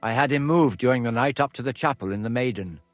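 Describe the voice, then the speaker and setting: An actor playing a guarded older castle priest who speaks in a measured, evasive way in game dialogue. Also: male voice, mid-range voice, no room sound, soft background music, close to an everyday voice.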